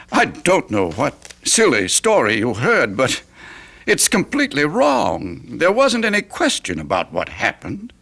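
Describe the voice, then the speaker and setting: Gravelly, sanctimonious condescending gentleman. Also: fairly high pitch, huge pitch swings, exaggerated voice. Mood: condescending, dismissive. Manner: patronizing, pious, deliberate. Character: smooth-talking, condescending, sanctimonious, evasive gentleman. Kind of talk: old-time radio drama acting.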